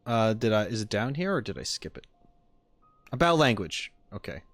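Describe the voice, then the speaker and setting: A man's voice: lowish voice, big pitch swings, normal speed, a bit bright, dry recording. A man doing casual livestream commentary as he reacts to a game, opinionated and emphatic, in an argumentative way. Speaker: streamer reacting to game voice lines.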